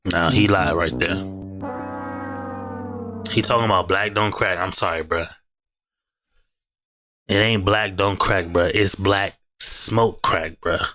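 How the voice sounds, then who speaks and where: A man's voice: deep voice, fairly even intonation, slow, long pauses, bright, forceful tone. A man hosting a reaction video, giving blunt, slangy commentary on clips and repeating "bruh" a lot. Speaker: reaction host. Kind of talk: casual commentary over clips.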